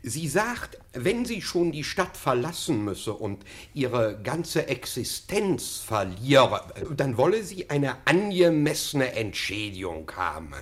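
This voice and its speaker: A man's voice: medium pitch, very animated, takes it slowly, few pauses, fairly bright tone. An actor playing an obsequious, flustered official in a radio comedy, stammering and fussy in his deference. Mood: nervous, deferential. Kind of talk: classic German radio comedy acting.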